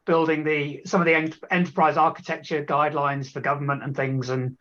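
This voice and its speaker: Higher-voiced man hosting, slightly muffled. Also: level tone, fairly quick, fairly bright tone. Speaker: company chief executive hosting a webcast. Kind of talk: conversational interview. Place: online webcast. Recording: dull, band-limited web call audio.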